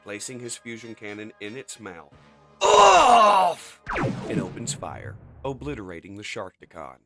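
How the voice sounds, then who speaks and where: A man's voice: on the low side, lively intonation, moderate pace, music in the background. A man narrating a comic as scripted drama in a theatrical, emphatic way.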